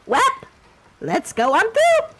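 A woman's voice: very high voice, huge pitch swings, slow, a bit bright, slight background noise, full character voice. A woman voicing a feisty, cheeky cartoon ink devil in exclamatory, muttering game commentary. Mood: uneasy, playful. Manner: exclamatory, muttering, repeats words. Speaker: gameplay host.

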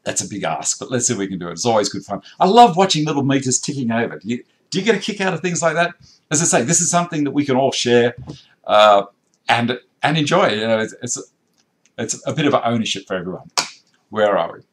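A man's voice: mid-range voice, animated, fast. An Australian man in his fifties hosting a live stream from his workshop, reading out viewer comments in a chatty, gesturing way with frequent restarts, recorded on a webcam at desk distance. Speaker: woodworking channel host. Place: indoor workshop with tool racks.

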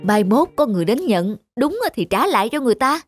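An honest, principled middle-aged peasant farmer from a folktale cartoon, played by a woman. The delivery is plain and humble, over clearly audible music. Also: a little high, sing-song, a little fast. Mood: concerned. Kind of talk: Vietnamese folktale cartoon acting.

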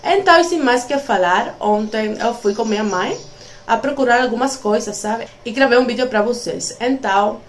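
A woman's voice: on the high side, slight background noise. A young Cuban woman vlogging casually to a phone camera, speaking careful learner's Portuguese with a Spanish accent. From Cuba. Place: small room at home, indoors.

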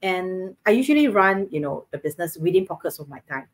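A Singaporean woman in her thirties speaks from her living room over a webcam, explaining her routine earnestly with plenty of fillers. Her voice is slightly low and her Singaporean English accent is clear. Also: lively intonation, brisk. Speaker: co-host. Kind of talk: casual live-stream chat. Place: home living room, indoors, video call. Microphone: webcam on video call.

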